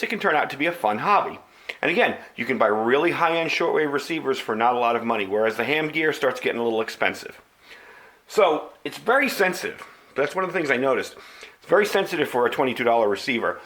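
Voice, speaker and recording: Bright-voiced man giving a casual review. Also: medium pitch, very animated, fast. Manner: plain-spoken, explanatory, conversational. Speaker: video channel host. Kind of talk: casual product review to camera.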